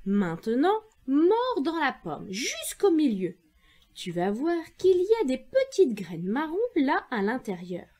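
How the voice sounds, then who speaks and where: Woman voicing a high, cheerful talking tamarind tree. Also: huge pitch swings, slow pace, darkish tone, heavily acted. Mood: enthusiastic teaching. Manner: enthusiastic, explaining.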